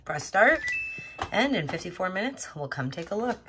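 Casting: woman, thirties, mid-pitched voice, chatty DIY vlogger who thinks aloud, recorded on a noisy camera. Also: very animated, normal speed. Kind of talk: casual chatty how-to vlog.

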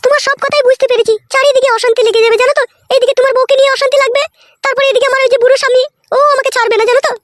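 A woman voicing a sharp-tongued middle-aged wife in a cartoon comedy, with a rural West Bengal accent, crude nagging delivery and an artificially pitched-up voice. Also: steady tone, no echo, clean studio sound. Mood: worried. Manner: crude, nagging, rapid-fire.

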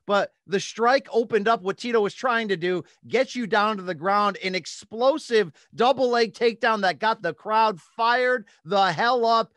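High-pitched American male sports podcast host. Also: unhurried, hardly any pauses, fairly bright tone, no room sound. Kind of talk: sports breakdown commentary and questions. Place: small home studio with posters. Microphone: studio mic on a boom arm, close.

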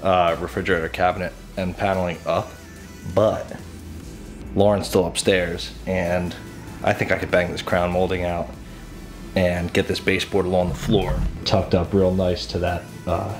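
A man's voice: sits low, level tone, moderate pace, soft background music. A man in his thirties talking casually to camera in a kitchen, explaining his renovation work step by step. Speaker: host, DIY home renovator. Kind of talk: casual vlog talk while working. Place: indoors, kitchen under renovation. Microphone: handheld camera at arm's length.

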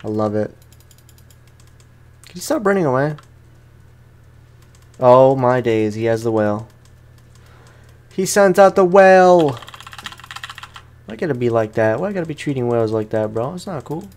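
A young man giving casual, slangy let's play commentary with animated, playfully exasperated reactions, as a gaming YouTuber. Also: medium pitch, measured pace, pauses often, slight background noise. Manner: slangy, informal.

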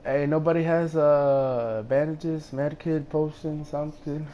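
A man's voice: a little high, steady tone, unhurried, warm tone, highs cut off. A young man streaming a team video game, chatting casually in a slangy, profane, bossy way. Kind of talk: casual team gaming chat. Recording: noisy game voice chat.

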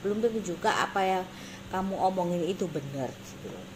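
A woman's voice: on the low side, fairly quick. A woman in her thirties with an East Javanese accent, talking casually to camera in an emphatic, blunt, repetitive explaining way. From Indonesia. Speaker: beauty salon owner and course teacher. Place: small indoor salon office. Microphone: phone at arm's length. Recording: noisy phone recording with room ambience.

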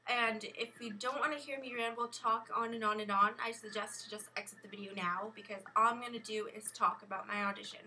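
A young American woman telling a rambling, casual vlog story in an expressive way, acting out exchanges as she goes. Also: fairly high pitch, a little fast, few pauses, a little hiss or hum, poor audio. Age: young adult. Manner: animated, expressive, acts out exchanges. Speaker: vlogger. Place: bedroom at home. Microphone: built-in camera or webcam mic at arm's length.

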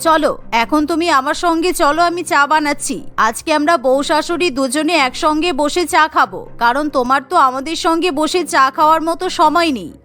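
A woman's voice: high-pitched, fairly quick, few pauses, fairly bright tone. A woman voicing an older, concerned mother-in-law who fusses and scolds in a cartoon story. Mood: concerned, chiding.

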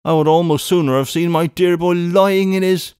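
Man voicing a high, plaintive, tearful Englishwoman. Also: steady tone, normal speed, darkish tone, clear, resonant tone, no room sound, clean studio sound, close to an everyday voice. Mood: mournful, reproachful. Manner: plaintive, lamenting, exclamatory. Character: tearful, loving middle-aged Englishwoman, dramatically wounded sister. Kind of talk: audiobook character voice.

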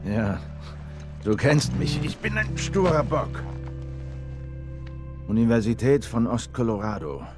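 An actor playing a stubborn middle-aged survivor in a dubbed video game, gruff and terse. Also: male voice, medium pitch, big pitch swings, takes it slowly, pauses often, over music. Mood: wry, fond.

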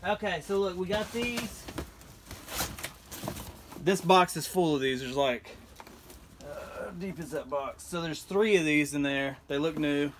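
A man's voice: a little high, a little slow, pauses often. Folksy guy, noisy recording. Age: 30s. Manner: rambling, folksy, jokey. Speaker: host of storage unit unboxing show. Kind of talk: casual vlog chat to camera. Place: storage unit and truck, open doors. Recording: noisy camera audio with vehicle sounds.

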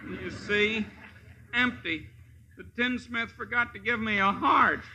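A man playing an excitable, plaintive tin woodman in theatrical 1950s radio style, with drawn-out exclamations. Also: high-pitched, exaggerated voice, low-quality recording. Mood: mournful.

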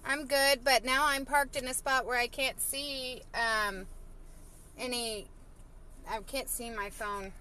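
Woman in her 30s live streaming from her car, slow easy pace, lots of ums, faint hiss in the background. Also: on the high side, fairly even intonation, bright, forceful tone. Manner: chatty, reads out viewer comments, frequent fillers. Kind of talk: casual live stream chat. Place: parked car outdoors. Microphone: phone held at arm's length.

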